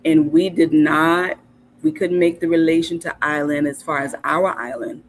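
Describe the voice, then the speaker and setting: A woman's voice: low voice, steady tone, moderate pace, slight background noise. A woman from South Carolina, a podcast guest telling stories in casual conversation, thoughtful and often stopping to restart with ums. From Hilton Head, South Carolina.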